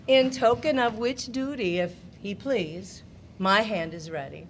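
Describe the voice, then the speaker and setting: An actress playing Kate, a tamed shrew who lectures other women, in a slow, declamatory Shakespearean delivery. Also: female voice, medium pitch, slight background noise, close to an everyday voice. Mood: solemn. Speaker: actress in a live audio play. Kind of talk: Shakespearean stage acting.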